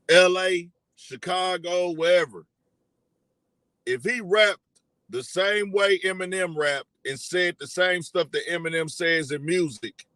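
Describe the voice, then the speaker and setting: Young man, high bright voice, dry close sound, animated, questions the audience. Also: no echo, rough recording. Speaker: commentary show host. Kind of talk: casual live commentary to viewers.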